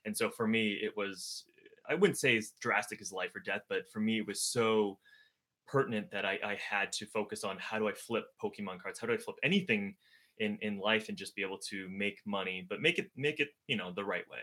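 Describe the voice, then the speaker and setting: Earnest young man, bright voice. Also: on the low side, fairly quick. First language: Mandarin. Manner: reflective, earnest, many fillers and restarts. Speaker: guest, older brother. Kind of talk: casual chat. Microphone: video livestream.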